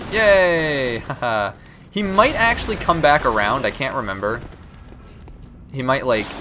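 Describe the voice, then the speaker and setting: Young guy with a stuffed-up nose chatting thru a game, voice jumps around a lot, noisy background. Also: medium pitch. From Florida. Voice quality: stuffy-nosed from a cold. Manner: rambling, chatty, swears casually, talks to the viewer. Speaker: let's play host. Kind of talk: casual gameplay commentary. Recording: recording with clear background noise.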